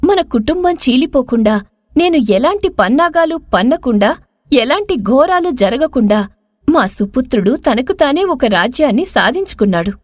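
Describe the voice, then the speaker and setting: A woman voicing a contented queen mother in a storybook tale, formal and expressive. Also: high-pitched, normal speed, no room sound, studio quality. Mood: pleased. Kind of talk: Telugu moral story voice acting.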